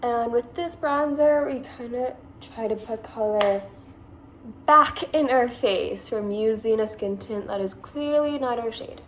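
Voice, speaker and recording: Woman, 20s, Californian accent, highish voice, casual rambling vlogger. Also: unhurried, fairly bright tone, slight background noise. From Massachusetts, USA. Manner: chatty and rambling, lots of "like". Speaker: beauty vlogger. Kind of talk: casual makeup tutorial.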